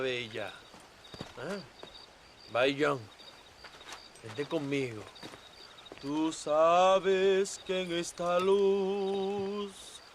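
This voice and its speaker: A man's voice: high voice, fairly bright tone, slight background noise, heavily acted. A man playing a vain, womanizing Mexican rebel leader in a video game, grandiose and suave, with a Mexican accent. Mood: seductive. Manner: grandiose, languid. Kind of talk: video game cutscene acting.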